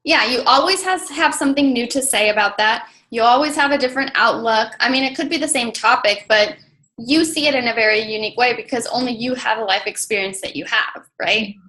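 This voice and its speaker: A woman's voice: a little high, brisk, sharp tone. A woman from Mexico, a native Spanish speaker, talking casually as an interview guest and filling her speech with "like" and "you know". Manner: chatty, many fillers like "like" and "you know". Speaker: interview guest, coach mentor.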